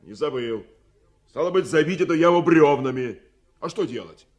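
An actor playing a determined veteran timber rafter, blunt and folksy, in a Soviet radio drama. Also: male voice, fairly high pitch, lively intonation, moderate pace, a little mellow, close to an everyday voice. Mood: firm, practical.

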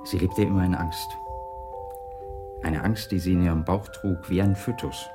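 A man's voice: deep voice, fairly even intonation, normal speed, music in the background, natural voice. An actor playing a big, devoted toy maker in his forties in a radio crime drama, earnest and explanatory. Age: 40s.

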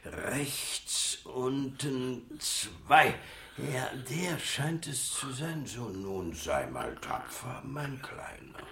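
A man playing a kindly, absent-minded animal-loving doctor in a children's radio play, gentle, expressive and theatrical. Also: medium pitch, very slow, vocal fry, echoey room, exaggerated voice. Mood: gently concerned.

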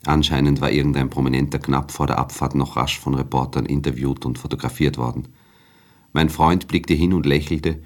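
An actor playing a refined, observant first-person narrator in a radio play, speaking in a measured, articulate, literary way. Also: male voice, extremely low pitch, steady tone. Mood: composed, narrating.

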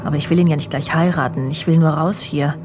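A woman's voice: sits low, fairly even intonation, fast, background noise, natural voice. An actress playing a vain, self-assured woman journalist in a radio crime drama, confiding and imperious as she justifies herself. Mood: self-satisfied, restless.

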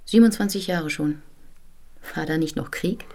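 An actress in a German radio play playing a curious, polite, slightly naive visitor in a natural, conversational way. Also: female voice, low-pitched, moderate pace, warm tone. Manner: inquisitive, conversational.

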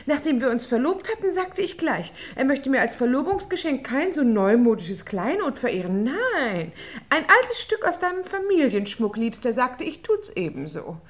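An affected society lady in a radio crime play. Her high voice swoops up and down, effusive and thoroughly theatrical. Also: big pitch swings, normal speed. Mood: gushing. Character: gushing, affected society lady engaged to a lord.

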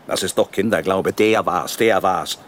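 An actor playing a ruthless, defiant older company boss in a German radio drama, arguing forcefully and with contempt. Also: male voice, sits low, normal speed, slight background noise. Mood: defiant, cold. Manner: forceful, rhetorical, self-justifying.

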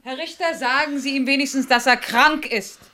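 An actress playing a brisk, exasperated mayor's wife in a radio drama, curt, sardonic and scolding. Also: female voice, high-pitched, steady tone, bright, forceful tone. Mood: curt, reproachful. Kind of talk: 1970s East German radio drama acting.

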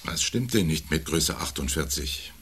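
An actor in a radio play playing a big, strong, stubborn man of few words, curt and gruff, with a husky voice. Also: extremely low pitch, expressive, normal speed, fairly bright tone, full character voice. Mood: defensive, stubborn. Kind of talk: German radio play acting.

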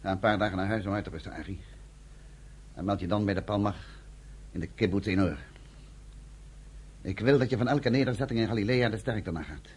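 An actor playing a weary, grave underground army commander in a radio drama, with a husky, gravelly voice. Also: male voice, fairly low pitch, brisk, pauses often. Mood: commanding.

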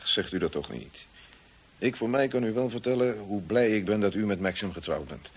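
A loyal, discreet estate manager in a radio play, with a low, husky voice. He speaks courteously and with measured reticence, kindly at heart. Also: animated. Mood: kind, reassuring. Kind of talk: Dutch radio drama acting.

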